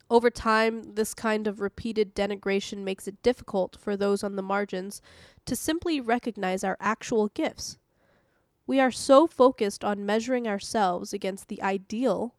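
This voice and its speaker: A woman hosting a podcast, reading an audio devotional in a calm, measured, earnest way. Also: mid-range voice, no room sound, studio quality. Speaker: devotional podcast host and reader.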